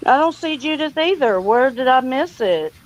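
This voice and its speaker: A woman's voice: high voice, animated, moderate pace, muffled recording. A woman hosting a casual livestream, chatty, folksy and welcoming. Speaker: livestream host.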